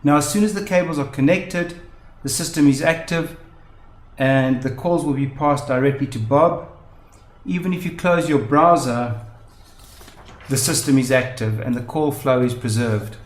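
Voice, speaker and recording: A man narrating a step-by-step software demonstration in measured, explanatory phrases. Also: medium pitch. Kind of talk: step-by-step instructional narration of a screen demo. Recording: roomy, echoing voice-over.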